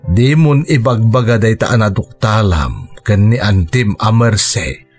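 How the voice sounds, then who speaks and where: A man playing a gentle, forgiving middle-aged father with a husky voice, acted for Ilocano radio drama with a Filipino accent. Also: fairly low pitch, animated, a little slow, soft background music. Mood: resigned, soothing.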